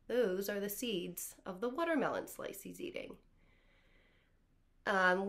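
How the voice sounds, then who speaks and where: A woman in her thirties, a kindergarten teacher, explaining things to small children in simple, deliberate phrases. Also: medium pitch, bright, forceful tone. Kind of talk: explaining to young children before a read-aloud. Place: small living room, on a couch.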